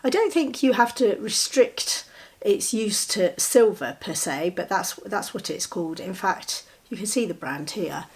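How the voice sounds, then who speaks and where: A woman's voice: medium pitch, animated, a little slow, breathy edge. Chatty woman with a cold. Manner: chatty, enthusiastic, with soft giggles. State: a little bunged up with a cold. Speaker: vlogger showing gifts. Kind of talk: casual show-and-tell to camera.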